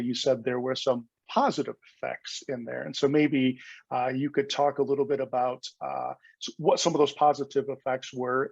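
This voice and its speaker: A man interviewing a professor, asking questions in a polite, measured way. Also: mid-range voice, creaky, breathy edge, no echo. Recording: dull lo-fi dry sound.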